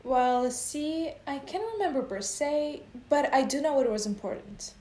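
A woman's voice: high voice, unhurried, a little mellow. A woman playing a feisty, taunting old race driver in a comedic radio play, with a folksy way of talking. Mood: bickering. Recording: echoey, noisy amateur recording.

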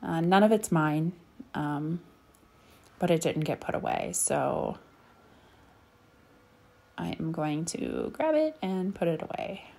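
Woman, thirties, low pitched, rambling, chatty, lots of ums, casual vlog voice-over. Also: animated, slow pace, gravelly, pauses often, mellow. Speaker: cleaning vlogger. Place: home bedroom.

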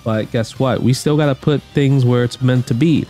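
A man's voice: mid-range voice, moderate pace, dark tone. Slangy, emphatic man giving opinions over music. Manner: slangy, emphatic, repetitive phrasing. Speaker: guest commentator ranking movies. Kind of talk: casual opinionated video commentary. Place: indoor room at home.

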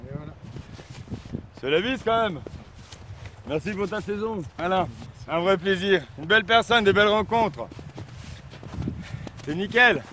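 A man narrating his own paragliding flight for a casual vlog, cheerful and relaxed, filmed outdoors on snowy slopes with an action camera on a pole. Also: sits high, measured pace, long pauses. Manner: cheerful, relaxed, enthusiastic outbursts. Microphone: action camera on a selfie pole. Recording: faint wind noise on outdoor camera mic.

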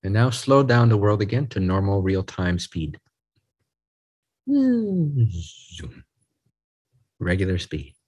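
A man leading a guided group meditation, speaking in a soothing, unhurried way in short phrases with pauses. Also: low-pitched, darkish tone.

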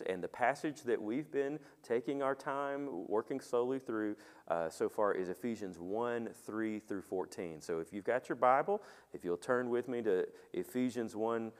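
A middle-aged man preaching a sermon as pastor in a church sanctuary on a headset mic, talking with the congregation and asking them questions, and praying quietly. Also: medium pitch. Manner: conversational, asks the congregation questions; soft, quiet when praying. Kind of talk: sermon with opening prayer. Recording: long reverb of a church hall.

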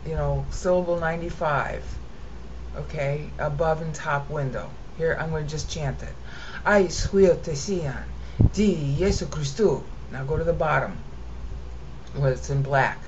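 American woman, low voice, casual Bible teacher, half explains, half chants; muffled noisy computer-mic recording. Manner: explains then chants text in rhythmic cadence. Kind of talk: screen-share lesson with chanted reading. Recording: dull lo-fi audio with steady noise.

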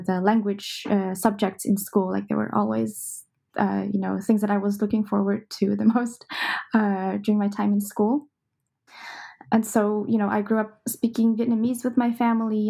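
A young woman talks through her work in a relaxed interview, pausing often and filling gaps with soft "uh"s. Her voice is mellow and dark, with a gravelly fry, and her pitch stays fairly flat. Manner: thoughtful, many "uh" fillers. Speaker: composer, interview guest. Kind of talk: casual interview answers.

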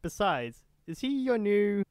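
A man's voice: sits high, very animated, measured pace, a little mellow, clean tone, no room sound, studio quality. A man playing a nosy, easygoing young friend in an ASMR roleplay, casual and teasing.

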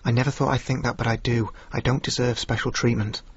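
Very husky, tender ghostly soldier. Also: male voice, mid-range voice, level tone, fast. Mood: pleading. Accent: English. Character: dead young soldier brother, tender pleading ghost. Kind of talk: intimate radio drama acting. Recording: muffled radio drama.

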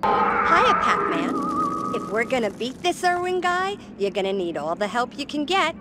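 A woman's voice: sits high, big pitch swings, normal speed, heavy background noise. A woman voicing a perky, bossy pink girl ghost in a cartoon game, sassy and impatient. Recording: game music behind voice.

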